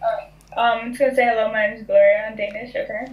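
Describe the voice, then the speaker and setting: A young woman from Denver chats with a stranger, her voice high and giggly, full of hesitant ums. She sounds set back from the mic in an echoey room, with faint guitar behind her. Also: steady tone, rapid-fire, lo-fi sound. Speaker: stranger on a video chat. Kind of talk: casual chat. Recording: distant, roomy video chat audio.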